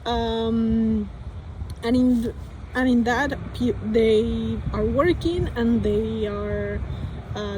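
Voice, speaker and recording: A woman's voice: medium pitch, warm tone, slight background noise, rough recording. A young woman from Colombia with a Colombian Spanish accent, speaking English as a second language and thinking aloud in a hesitant vlog full of restarts and fillers. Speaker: vlogger.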